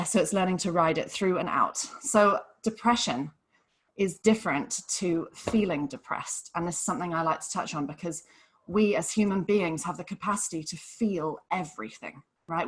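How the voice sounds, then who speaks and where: A young adult woman from Hong Kong, a depression coach speaking on a live online panel in an earnest, gentle, explanatory way. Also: lowish voice, normal speed, breathy edge.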